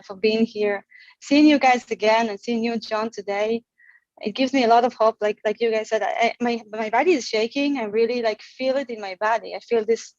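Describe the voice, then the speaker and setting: A woman in her forties from Israel, sharing her gratitude on a group video call, halting and full of fillers and restarts. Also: mid-range voice, no room sound, lo-fi sound. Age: 40s. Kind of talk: heartfelt casual sharing.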